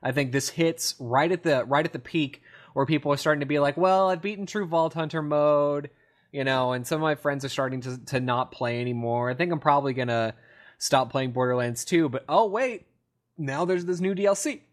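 A man's voice: on the high side, a little fast. A man co-hosting a podcast in casual conversation, opinionated and rambling, often repeating himself for emphasis. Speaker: podcast co-host. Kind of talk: casual gaming podcast chat.

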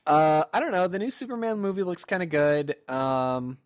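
Young male co-host, higher voice, animated storyteller on a casual podcast. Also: moderate pace, no room sound. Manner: animated storyteller, acts out quotes. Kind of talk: casual chat.

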